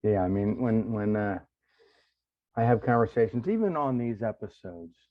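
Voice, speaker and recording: A man with a lowish voice hosts an interview over a dull, lo-fi line. He chats in a relaxed, rambling way and restarts his sentences often. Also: normal speed. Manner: relaxed storyteller, many restarts. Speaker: podcast host. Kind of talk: casual interview chat. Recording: dull lo-fi sound.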